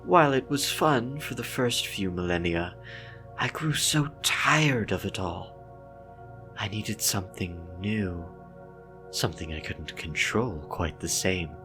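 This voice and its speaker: A man voicing an ancient female cosmic deity in a horror story, bored and sweetly menacing, with drawn-out, condescendingly tender delivery. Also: fairly low pitch, fairly bright tone, voice pushed up. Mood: bored, musing. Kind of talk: dramatic horror story reading.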